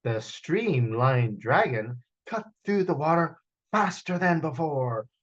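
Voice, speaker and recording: A man reading a children's story aloud in an expressive, animated storytelling style, with dramatic gasps and sound effects. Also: fairly high pitch, slow, lo-fi sound. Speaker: children's author reading his book aloud. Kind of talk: animated picture-book storytelling.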